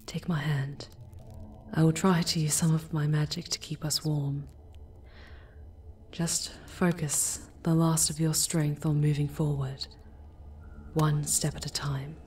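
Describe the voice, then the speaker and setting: A woman playing an ancient, protective female demon in an ASMR audio drama, speaking in a breathy, formal, deliberate hush. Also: low voice, level tone, slight background noise. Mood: solemn, hushed.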